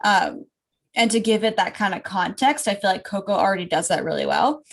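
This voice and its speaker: A woman's voice: mid-range voice, lively intonation, fairly quick, vocal fry. Chatty woman full of fillers. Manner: rambling, many fillers and restarts. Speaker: podcast co-host. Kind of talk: casual chat.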